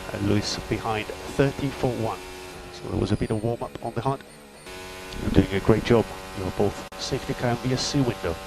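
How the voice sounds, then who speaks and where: Man on noisy team radio, race engineer. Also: medium pitch. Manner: matter-of-fact, terse. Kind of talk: clipped technical race radio updates. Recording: noisy radio link with mechanical hum.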